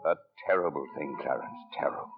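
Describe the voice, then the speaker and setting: An actor playing an authoritative heavenly superior, narrating a life story in solemn 1940s radio style. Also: male voice, very deep voice, fast. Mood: grave. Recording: muffled 1940s radio, music behind. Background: music.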